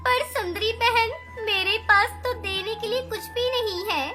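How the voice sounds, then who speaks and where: Woman voicing a very high-pitched gentle mother bird. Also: unhurried. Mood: pleading. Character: gentle anxious mother bird, pleading and caring. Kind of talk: Hindi animated children's story dialogue. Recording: music behind the voice.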